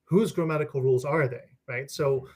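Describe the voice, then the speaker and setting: A man's voice: mid-range voice, brisk, darkish tone. A man from the Netherlands, a native Dutch speaker, explains as a guest scholar in an academic conversation, restarting phrases and checking in with "right?".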